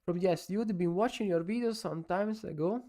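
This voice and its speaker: Italian man as a live-stream sports analyst: gravelly, mellow, halting non-native English. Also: sits high. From Italy. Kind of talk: casual live-stream commentary.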